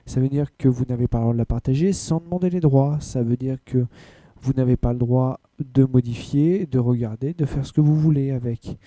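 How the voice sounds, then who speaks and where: A man's voice: medium pitch, normal speed, mellow, dry recording. A man hosting an anime and manga podcast in a casual, blunt way, rambling through news with lots of filler words. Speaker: podcast host. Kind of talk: casual news rundown and rant.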